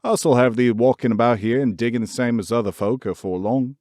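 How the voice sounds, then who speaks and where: A man's voice: mid-range voice, a little fast, a little mellow, no room sound, clean studio sound, natural voice. A man reading the part of a cheerful country boy with a Yorkshire accent, speaking stoutly and reassuringly.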